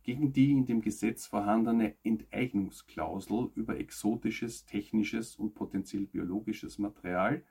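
Male news presenter, husky and creaky, scripted delivery with emphatic phrasing. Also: on the low side, steady tone, moderate pace, few pauses, warm tone.

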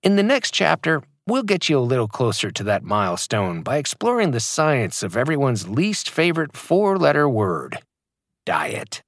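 A man's voice: mid-range voice, huge pitch swings, normal speed, fairly bright tone, dry recording, clean studio sound. A man reading his own fitness audiobook aloud, emphatic and motivational in delivery. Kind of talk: scripted audiobook narration.

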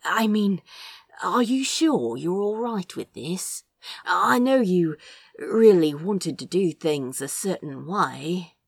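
A woman voicing a casual, incredulous, teasing male sorcerer in an audiobook, speaking informally in halting bursts. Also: lowish voice, animated, gravelly, slightly rough, no echo, studio quality, full character voice. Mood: concerned.

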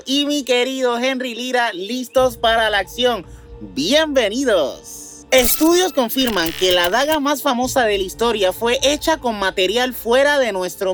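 A man's voice: extremely high pitch, fairly bright tone. A man with a Mexican accent hosting a mystery news show, mixing scripted narration with slangy, informal asides. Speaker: news host.

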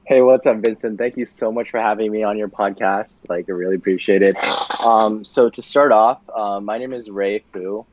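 A man's voice: lowish voice, moderate pace, lo-fi sound, background noise. Laid-back young man telling stories. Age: young adult. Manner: relaxed, filler-heavy, laughs easily. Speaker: podcast guest. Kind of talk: casual conversational storytelling.

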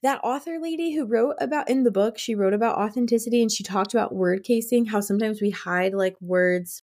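A woman's voice: fairly high pitch, fairly quick, a little mellow. A woman in casual book discussion as a co-host, talking informally with exclamations and plenty of "like".

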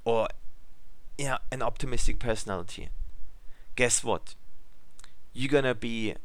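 A man's voice: on the low side, no echo. A man from Germany, speaking English with a German accent, giving an emphatic motivational talk to listeners. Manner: emphatic, repetitive, coaching tone.

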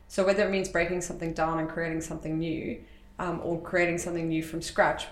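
A woman from South Africa talks through her work as an interview guest, circling back with fillers and restarts. Her voice sits a little low for a woman. The room around her is echoey. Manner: chatty, rambling, many fillers and restarts. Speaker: interview guest, tech entrepreneur. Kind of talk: casual interview talk.

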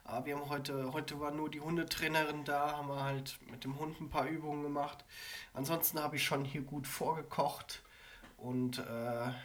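A man with a cold, chatting casually as a podcast co-host in a relaxed, rambling way. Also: a little high, moderate pace, slight background noise. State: has a cold. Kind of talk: casual chat.

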